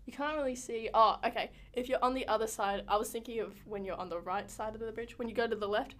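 A woman's voice: fairly high pitch, quick pace, fairly bright tone. A young woman from Melbourne, co-hosting a casual podcast, giggly and playful, echoing phrases back. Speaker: co-host.

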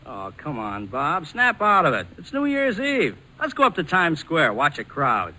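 A man's voice: fairly high pitch, wildly swooping pitch, moderate pace, bright, forceful tone, full character voice, rough recording. A man with an American accent acting a blunt, plainspoken pilot buddy in a 1940s radio drama. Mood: impatient, coaxing. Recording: vintage 1940s radio broadcast.

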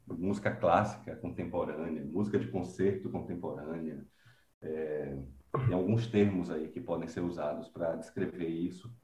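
A man's voice: low-pitched, darkish tone, echoey room, slight background noise. A man from Bahia, a composer and professor talking unhurriedly in an interview, thinking aloud with many fillers. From Bahia, Brazil. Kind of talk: relaxed conversational interview.

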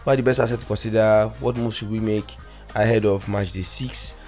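Nigerian man, podcast host, low morning voice, soft music under him, rambly. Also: fairly even intonation, brisk. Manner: rambling, many fillers and self-corrections. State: morning voice, deeper than usual. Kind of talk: casual solo fantasy football podcast.

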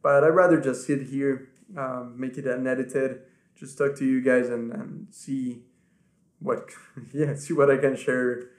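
A man in his twenties from Ecuador, a native Spanish speaker, hosting his podcast and talking earnestly without a script, softly and with many restarts. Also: mid-range voice, fairly even intonation, a little slow. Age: 20s. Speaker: podcast host. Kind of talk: unscripted personal talk to camera. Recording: echoey room.

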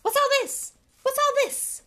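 A pompous celebrity schoolmaster, as a woman narrator puts him on, hearty and booming. He is jovial, self-important and patronising, with showy, animated pitch, in storybook fashion. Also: normal speed.

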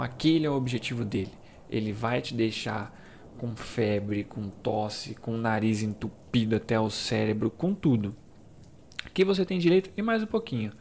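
A man's voice: mid-range voice, gravelly, a little mellow. A young Brazilian man hosting his own channel, explaining things casually with lots of fillers and asides. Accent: Brazilian Portuguese. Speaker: YouTube channel host.

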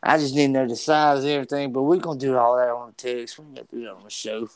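A man's voice: medium pitch, steady tone, very fast, clear, resonant tone, no echo. A man with a stutter co-hosting a casual podcast, talking animatedly and profanely, tripping over and repeating his words. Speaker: podcast co-host.

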